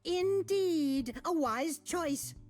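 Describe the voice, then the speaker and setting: A man's voice: extremely high pitch, lively intonation, very slow, a little mellow, soft background music, heavily acted. A man voicing a small, fussy, snobbish inventor creature in a video game, pompous and precise with rolled Rs. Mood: musing. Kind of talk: video game character voice lines.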